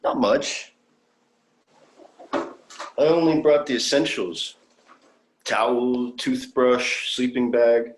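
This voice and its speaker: An eager, bookish young alien traveller played by a young man. His medium-pitched voice is earnest, polite and wondering, in the manner of a sci-fi audio drama. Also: slow pace, pauses often, a little hiss or hum, lightly acted, rough recording. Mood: hopeful, sheepish. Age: young adult.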